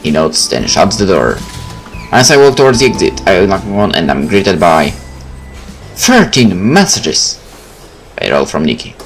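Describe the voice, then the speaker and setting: A man with an animated, expressive delivery reads a visual novel aloud. He mixes narration with casual let's player asides, and game music sits behind his voice. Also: low voice, a little slow, pauses often.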